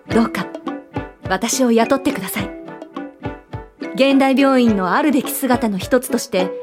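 A determined young female social worker in a radio drama. Her voice sits a little high and speaks with earnest, gentle persuasion. Music plays clearly behind her.

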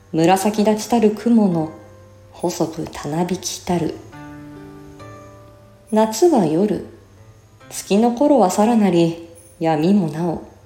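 Female literary reader, mellow timbre, careful diction and measured delivery, roomy sound with faint music underneath. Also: medium pitch. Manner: careful, clearly enunciated, measured. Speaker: reciter and voice actor. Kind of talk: literary recitation of a classical essay.

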